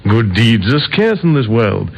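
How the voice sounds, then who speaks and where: A man's voice: lowish voice, big pitch swings, measured pace, gravelly, exaggerated voice. An actor playing a cheerfully deranged, smugly proud killer in an old radio drama, chatting breezily as he confesses. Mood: gleeful pride. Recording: muffled, hissy old radio recording.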